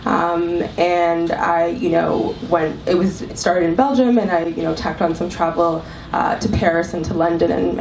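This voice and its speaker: A woman's voice: fairly low pitch, darkish tone. Woman with a cold, echoey far mic. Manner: thoughtful, many ums and restarts. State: has a cold. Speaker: panelist, arts executive director. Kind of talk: panel talk to a live audience. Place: club event room. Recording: echoey room, mic from far off, faint hiss.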